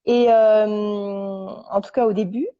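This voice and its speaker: A young woman, a podcast guest, casually telling her story at home on a couch, halting and restarting as she searches for her words. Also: medium pitch, fairly even intonation. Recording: dull lo-fi recording.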